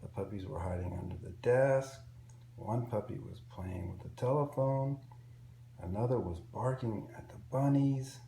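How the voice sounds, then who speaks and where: A man reading a picture book aloud to young children in an expressive, simple storytelling way. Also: mid-range voice, normal speed, gravelly. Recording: roomy echo with faint background noise.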